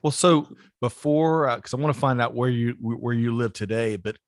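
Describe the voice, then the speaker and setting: Upbeat chatty host, dry close sound. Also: male voice, medium pitch, fairly even intonation, normal speed, no room sound. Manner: upbeat, chatty, with frequent restarts. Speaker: podcast host. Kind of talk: casual interview chat.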